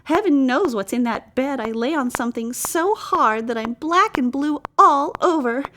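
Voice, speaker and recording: A woman's voice: high voice, lively intonation, moderate pace, bright, forceful tone, pitched above the performer's own voice. A woman voicing a delicate, fussy young princess in a storybook, complaining in a plaintive, dramatic manner. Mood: aggrieved, complaining. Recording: clear background noise.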